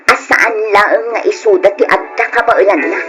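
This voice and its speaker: A woman's voice: medium pitch, moderate pace, music in the background, lightly acted. A woman playing a proud, resentful, ambitious daughter in an Ilocano radio drama, speaking with emphatic defiance. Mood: bitter, determined.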